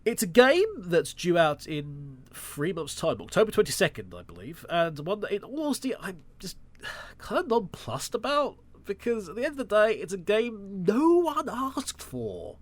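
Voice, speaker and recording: A man's voice: sits high, huge pitch swings, normal speed, no room sound. A man hosting a solo podcast, sounding nasal and congested from a cold, talking with sarcastic, wry asides. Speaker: podcast host.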